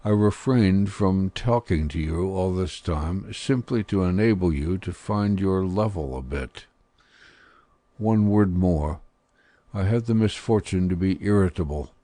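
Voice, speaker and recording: A man voicing a stern, blunt older priest with hidden kindness, speaking gravely and deliberately in an audiobook. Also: low-pitched, mellow, no echo. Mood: frank, grave. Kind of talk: audiobook character dialogue.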